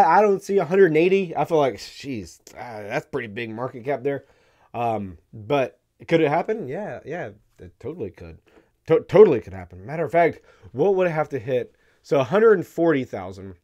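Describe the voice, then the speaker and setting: A man in his thirties hosting a crypto live stream, hoarse and losing his voice, talking bluntly with wry laughs into a studio mic. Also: mid-range voice, a little mellow. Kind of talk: casual opinionated commentary. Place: dark studio room, indoors. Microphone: studio mic on stand in front.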